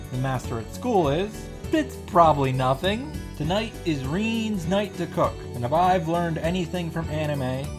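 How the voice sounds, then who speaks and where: A woman giving a casual, expressive recap of a video game, reading out text and reacting with exasperation and swearing. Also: sits low.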